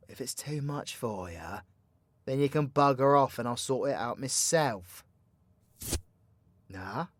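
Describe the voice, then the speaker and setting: A British man playing a cocky, foul-mouthed dark-magic warlock in an audio roleplay, with slangy, teasing, proud delivery. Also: mid-range voice, dry recording. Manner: cheeky slangy banter, swinging between hushed menace and loud scolding. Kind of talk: roleplay ASMR audio drama.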